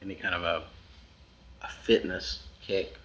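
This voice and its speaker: A man in his thirties asking questions in a halting, casual interview style, often rephrasing himself. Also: low voice, fairly even intonation, fairly bright tone. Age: 30s. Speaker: magazine interviewer. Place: in a house, over lunch. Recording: dull, lo-fi old interview tape.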